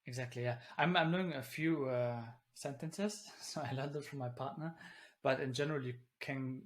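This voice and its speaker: A man's voice: medium pitch, moderate pace. A man born in Germany, a native German speaker, chatting as an interview guest over a video call and rambling with many fillers and restarts. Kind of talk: casual conversation. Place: living in the Netherlands.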